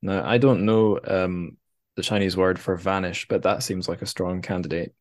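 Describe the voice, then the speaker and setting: A man's voice: deep voice, steady tone, normal speed. A man talking casually and conversationally as the host of a podcast.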